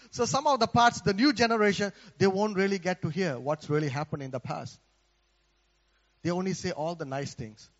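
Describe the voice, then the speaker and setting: A man's voice: sits high, long reverb tail, highs cut off. A man from Malaysia with a Malaysian accent, preaching a sermon in a conversational way, often asking the congregation for an amen. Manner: conversational, repeats words, asks the congregation for amen.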